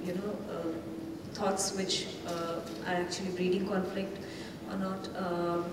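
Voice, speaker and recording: A woman's voice: fairly low pitch, flat delivery, takes it slowly. A woman giving an unscripted panel answer, very hesitant, with frequent stops and filler sounds. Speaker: panelist from a news portal. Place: large indoor conference hall. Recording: echoey hall, distant mic, clear noise.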